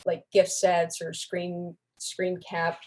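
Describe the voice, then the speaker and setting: A young woman with a voice a little on the low side talks as a guest on a podcast. She explains things informally, in the manner of a relaxed group chat. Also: takes it slowly. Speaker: podcast guest, online community moderator.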